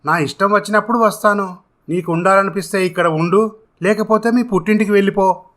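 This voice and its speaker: A young village husband in a storybook drama , acted out by a man, irritable and dismissive. He gives blunt orders in a bright, slightly high voice. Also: steady tone, a little fast. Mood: irritated.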